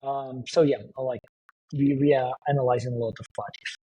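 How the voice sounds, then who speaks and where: Hesitant man, husky voice. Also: mid-range voice, fairly even intonation, normal speed, dark tone, rough recording. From Siberia, Russia. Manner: hesitant, many fillers and restarts. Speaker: crypto startup co-founder, guest. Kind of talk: casual podcast interview.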